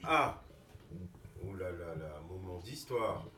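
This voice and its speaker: A woman's voice: a bass voice, animated, takes it slowly, roomy sound, far from the mic, noisy background. A woman teacher in a classroom, exclaiming and questioning the children.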